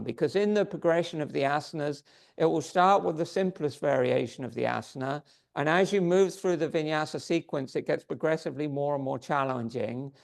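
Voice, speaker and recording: A man's voice: fairly high pitch, gravelly. Man leading a yoga class, voice going. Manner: gives calm, step-by-step posture and breath cues. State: losing his voice. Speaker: yoga teacher. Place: yoga studio.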